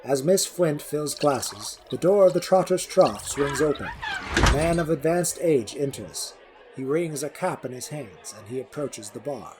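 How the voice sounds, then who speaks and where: A man with a husky voice narrating a scripted fantasy story. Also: a little high, animated, unhurried, noisy background.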